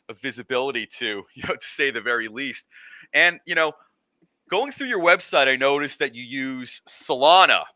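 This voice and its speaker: A man hosting a casual interview, feeling his way through long, halting, rambling questions. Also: mid-range voice, pushed and bright, no room sound. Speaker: interviewer, host.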